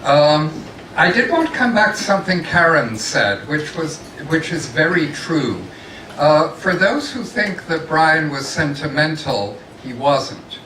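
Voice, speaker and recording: A man speaks slowly from the floor of a large conference room, loud and without a microphone. His voice comes across bright and forceful. It reaches the recording from across an echoey room, with faint background noise. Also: a little high, very animated, distant mic. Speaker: former institute president, guest speaker.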